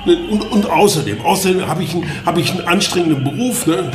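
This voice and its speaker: A man's voice: sits high, lively intonation, fast talker, echoey room, music in the background. An actor playing a hesitant village policeman who stammers out excuses. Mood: evasive. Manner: stammering, evasive.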